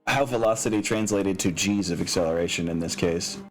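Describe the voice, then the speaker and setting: Male game master, low voice, casual and hesitant, thinking aloud over background music. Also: brisk. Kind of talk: narrating a tabletop mech game.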